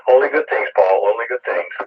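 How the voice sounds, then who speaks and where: A hoarse, croupy man calling in to a ham radio net, speaking in a relaxed, halting way with many fillers. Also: medium pitch, highs cut off. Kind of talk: casual radio chat. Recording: distant, husky ham radio signal.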